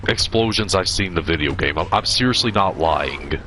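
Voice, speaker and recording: Man, 20s, deep voice, gaming streamer, casual chatty commentary, bright tone. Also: brisk, a little hiss or hum. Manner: relaxed, chatty, answering chat.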